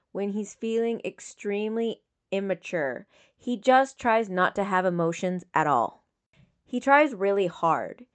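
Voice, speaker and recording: A woman reading scripted fiction as an audiobook narrator. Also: mid-range voice.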